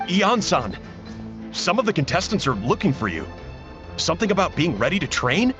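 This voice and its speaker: A man's voice: fairly high pitch, very animated, normal speed, over music. An actor playing a genial, slightly dramatic village cook in a video game, hearty and courteous as he explains things. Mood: polite. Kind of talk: English video game voice acting.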